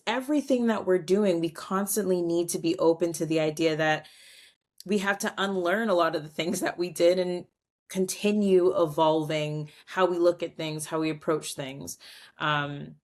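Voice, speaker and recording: Animated woman with a lowish voice. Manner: animated, acts out quoted voices, many "like" fillers; reflective, long-winded explanations. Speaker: guest, culture strategist. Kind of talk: conversational podcast interview.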